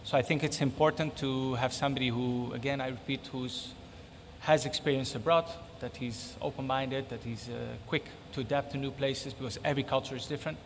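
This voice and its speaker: A middle-aged man whose first language is Spanish, giving thoughtful, measured interview answers and repeating points for emphasis. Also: medium pitch, steady tone, big-room sound, slight background noise. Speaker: interviewee, football technical advisor.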